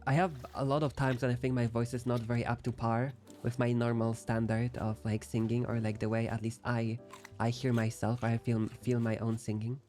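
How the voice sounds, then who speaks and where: Brief: young male streamer, mid pitch, loose self-deprecating chatter, light music underneath. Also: flat delivery, fairly quick, a little mellow. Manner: rambling, playful, self-deprecating. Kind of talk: casual chat while gaming.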